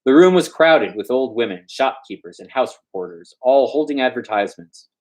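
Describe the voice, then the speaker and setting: A man reads a classic-style tale aloud in a mid-pitched, very animated voice. His delivery is informal and loose, with stumbles and asides to the listener. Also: a little fast. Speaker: storyteller, show host. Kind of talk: informal story reading.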